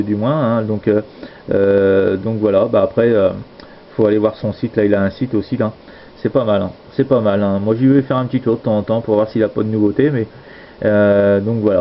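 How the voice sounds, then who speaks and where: A man chatting casually over amateur radio, speaking hesitantly and repeating himself. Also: fairly low pitch. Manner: hesitant, repetitive, trailing tag words. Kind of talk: casual radio contact chat. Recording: dull lo-fi audio with steady noise.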